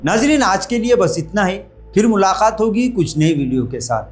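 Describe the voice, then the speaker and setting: A man's voice: high-pitched, sing-song, normal speed, soft background music. A man presenting a news report, speaking in formal, Urdu-flavoured diction.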